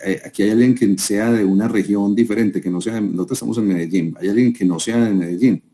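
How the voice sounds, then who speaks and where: Colombian man with a very dark voice. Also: on the low side, steady tone, quick pace. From Colombia, Quindío. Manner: conversational, asks the audience rhetorical questions. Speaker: speaker at a business seminar. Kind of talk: live talk to an audience. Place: room with a live audience.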